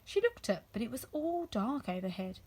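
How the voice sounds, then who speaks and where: Animated, high-pitched woman storyteller. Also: moderate pace, slightly rough. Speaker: storybook reader. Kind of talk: expressive children's story narration.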